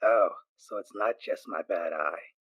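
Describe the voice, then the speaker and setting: A man voicing a weathered female ghoul pony soldier, raspy and gruff but gentle, in an audio drama. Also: deep voice, unhurried, dry recording. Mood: wry, kindly. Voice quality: raspy, husky.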